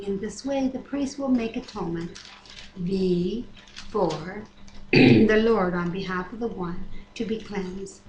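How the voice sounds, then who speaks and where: A woman reads scripture aloud at an unpolished, everyday level, sometimes correcting herself or commenting. Her voice sits at a middling pitch. The webcam sound is echoey, with faint noise behind it. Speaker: Bible reader and commenter. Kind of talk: reading scripture aloud with casual asides. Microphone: webcam microphone. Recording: echoey room, noisy webcam audio.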